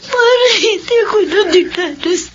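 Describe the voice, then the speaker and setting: An extremely high, wailing voice in dull, noisy sound: a frightened young Filipino woman. She is tearful and pleading, despairing in full radio melodrama style. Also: animated, measured pace. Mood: despairing, weeping.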